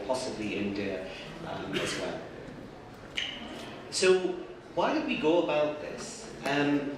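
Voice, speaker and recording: A middle-aged man, a child psychiatrist, giving an explanatory conference presentation with lively lifts in pitch. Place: large echoey conference hall. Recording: echoey hall, distant mic, noisy.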